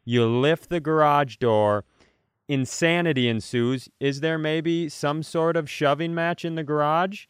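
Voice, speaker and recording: A man's voice: fairly high pitch, measured pace, smooth, no room sound, studio quality. An American man co-hosting a podcast in casual, comedic conversation. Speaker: podcast co-host.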